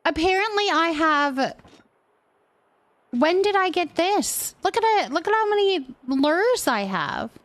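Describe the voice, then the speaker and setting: A woman chatting casually while streaming a game, thinking out loud as she talks to her viewers. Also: very high voice, big pitch swings, unhurried, dry recording. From California, United States. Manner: chatty, thinking aloud to herself. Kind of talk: casual gameplay stream chat.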